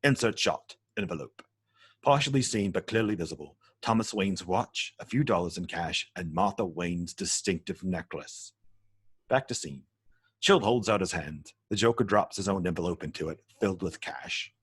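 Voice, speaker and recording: Deep, husky male narrator. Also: lively intonation, moderate pace, dry recording, studio quality. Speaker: narrator reading stage directions. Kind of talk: scripted narration at a table read.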